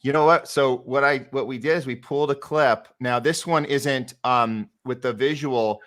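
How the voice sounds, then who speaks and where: A man hosts a casual show in a voice of average pitch. He is effusive and informal, often stumbling into repeated words before finishing a thought. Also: fairly even intonation, normal speed, a bit bright. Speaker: host. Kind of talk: casual podcast hosting.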